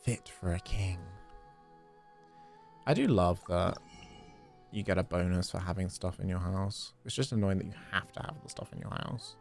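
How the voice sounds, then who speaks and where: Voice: sits low, normal speed, vocal fry, pauses often. A streamer chatting casually and exclaiming while playing a game, talking half to themselves. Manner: animated, exclamatory, talking to self. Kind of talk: casual livestream gameplay chatter. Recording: game music behind. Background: video game music.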